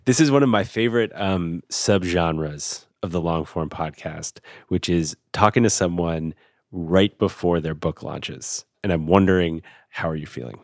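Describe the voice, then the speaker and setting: A man with a hoarse, husky voice that sits very low, hosting a podcast in a relaxed, self-deprecating way. The sound is close and dry, with no room around it. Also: a little slow, dry recording. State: losing his voice. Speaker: podcast host. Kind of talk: casual podcast chat and interviewing.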